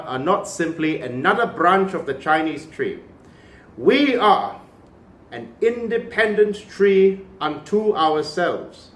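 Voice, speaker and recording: A man's voice: fairly high pitch, distant mic. A man from Malaysia giving an opinionated video commentary, emphatic and impassioned, with sardonic asides. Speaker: cultural commentator. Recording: echoey room.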